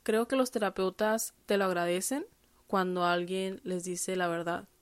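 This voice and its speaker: A young woman speaks in a candid, chatty way, full of fillers and restarts. Her voice sits at an ordinary middle pitch. The recording is close and dry. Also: steady tone, moderate pace, no echo. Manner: candid, chatty, with frequent fillers and self-corrections. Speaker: podcast host. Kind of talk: casual personal monologue. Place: lives in the United States.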